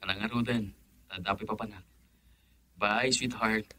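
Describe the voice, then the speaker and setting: A man's voice: lowish voice, steady tone, normal speed, bright, forceful tone. A Filipino man playing an easygoing, affectionate young boyfriend in a radio drama.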